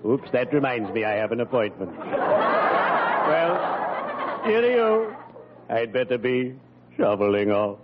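An actor playing a pompous, mock-solemn undertaker in old radio comedy, speaking formally with dry wit. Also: male voice, medium pitch, big pitch swings, a little slow, long pauses. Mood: grave, droll. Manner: formal, pompous, punning delivery. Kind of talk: 1940s-50s radio comedy acting. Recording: muffled, noisy old radio recording, hum.

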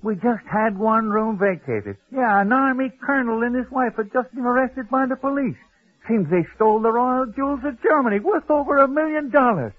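A man voicing a brisk, chatty male hotel clerk in a 1940s radio story. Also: very high-pitched, expressive, pitched above the performer's own voice. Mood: confiding. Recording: tinny old radio broadcast.

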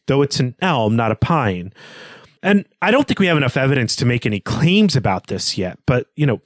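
Thoughtful male co-host with lively, varied pitch. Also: dry recording. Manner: thoughtful, measured, formal wording. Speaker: podcast co-host. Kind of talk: literary analysis discussion.

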